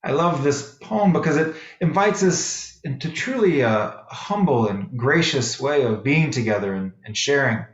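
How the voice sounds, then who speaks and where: A man giving a sermon as a minister, speaking in measured, deliberate phrases with pauses. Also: medium pitch, expressive, roomy sound, highs cut off. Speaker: minister preaching to a congregation. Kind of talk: sermon with poetry readings. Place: echoey worship space.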